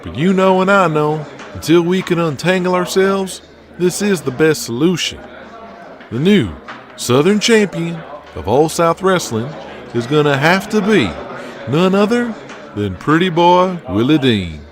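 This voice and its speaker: Man playing an insistent, high-pitched wrestling booker. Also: expressive, measured pace. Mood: urging, resigned. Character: scheming, persuasive male 1980s wrestling booker. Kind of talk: audio drama dialogue.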